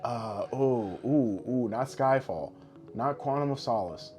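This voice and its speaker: A man's voice: mid-range voice, lively intonation, slow pace, darkish tone. Casual, opinionated man over background music. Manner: informal, opinionated, profane. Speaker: podcast co-host. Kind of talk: casual podcast chat about pop culture. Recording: music playing under the voice.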